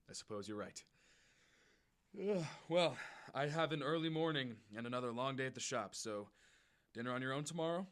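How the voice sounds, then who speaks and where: A man's voice: medium pitch, lively intonation, moderate pace, long pauses, lightly acted. A man playing a weary, caring middle-aged fisherman father, resigned and understated, in an audio drama. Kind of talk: fiction podcast audio drama acting.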